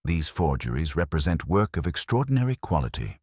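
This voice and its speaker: A man voicing a shrewd elderly Belgian detective who speaks in a measured, precise and formal way. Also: a bass voice, very animated, darkish tone, no echo, studio quality, exaggerated voice. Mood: reflective.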